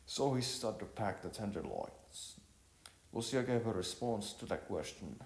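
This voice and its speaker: A man's voice: on the low side, big pitch swings, measured pace, a little mellow. A man reading a scripted story as narrator, often stumbling and repeating phrases as he goes. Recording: roomy echo, clear music bed. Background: music.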